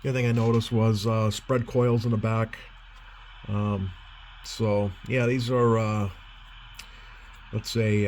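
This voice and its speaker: A man with a fairly low voice talks through a hands-on repair like a technician at the bench. He is casual and hesitant, working things out as he speaks. Faint noise sits behind him in the room. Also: a little mellow. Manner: hesitant, thinking aloud. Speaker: CB radio repair technician demonstrating. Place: indoor workbench. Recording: clear background noise.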